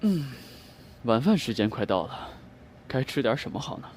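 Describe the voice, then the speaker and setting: A man playing a gentle, polite young man who muses softly to himself in an audio drama. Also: mid-range voice, big pitch swings, normal speed, a little hiss or hum, natural voice. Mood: relaxed, pondering. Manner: soft, musing to himself. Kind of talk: Chinese audio drama acting. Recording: music behind the voice.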